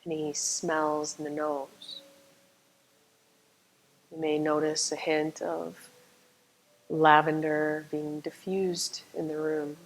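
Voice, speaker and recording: A woman leading a guided meditation as a yoga nidra teacher, speaking calmly and soothingly with long, unhurried pauses. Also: sits low, low-quality recording.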